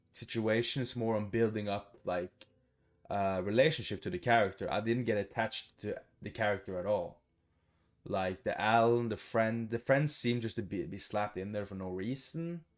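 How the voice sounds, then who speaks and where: A man giving casual, rambling let's play commentary, blunt and given to swearing. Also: lowish voice. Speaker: gaming video host. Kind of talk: casual let's play commentary, reading game text aloud.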